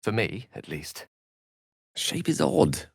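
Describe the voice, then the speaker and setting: Scholarly wizard, fantasy game, lowish pitch, very animated intonation, articulate, wordy, drily witty, theatrical. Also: male voice, takes it slowly, creaky, breathy edge, no room sound, clean studio sound, full character voice. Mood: measured, thoughtful. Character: eloquent, scholarly male human wizard with dry wit. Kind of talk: fantasy video game voice acting.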